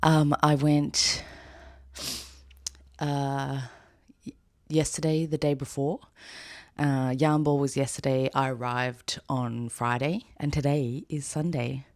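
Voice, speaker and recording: A woman with a raspy, hoarse voice, chatting casually in a rambling vlog with fillers and laughs. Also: a bass voice, steady tone, slow, long pauses, dry recording, clean studio sound. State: hoarse after an event. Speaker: knitwear designer vlogger. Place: outdoors with birds nearby.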